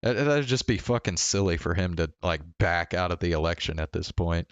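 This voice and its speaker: A man with a low, smooth voice talks slowly and haltingly, as if thinking aloud on a casual livestream. He stammers into restarts and swears offhandedly. The sound is close and dry. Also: lively intonation, no room sound, muffled recording. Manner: casually profane. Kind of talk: casual rambling stream chat.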